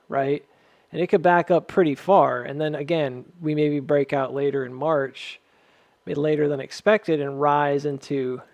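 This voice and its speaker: Higher-voiced man thinking aloud, dry sound. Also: a little mellow, no echo, highs cut off. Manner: hedging, thinking aloud, checking in with listeners. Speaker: host of a stock chart analysis video. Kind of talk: casual unscripted market commentary.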